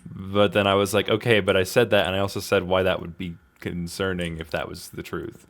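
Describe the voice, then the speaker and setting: A man talks his way through a game. His delivery is casual and slangy, with self-deprecating asides and exclamations, as if thinking out loud for his viewers. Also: sits low, flat delivery, fairly quick. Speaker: gaming video host. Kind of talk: casual gameplay commentary, talking to himself.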